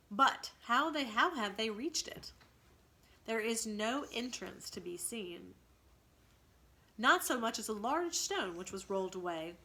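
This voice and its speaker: A woman with a bright, forceful voice at a medium pitch reads a story aloud. She sounds like a teacher, expressive and instructive in how she delivers the text. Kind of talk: storybook read-aloud with explanations.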